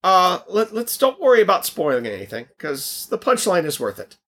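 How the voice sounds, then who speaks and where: A man co-hosting a podcast, a bit hoarse from losing his voice, who coughs between lines. He speaks slightly high and very lively, swinging up and down as he pushes his voice out louder than usual and restarts his sentences. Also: normal speed. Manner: projecting loudly, animated, restarts often. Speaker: podcast co-host. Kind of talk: casual podcast chat.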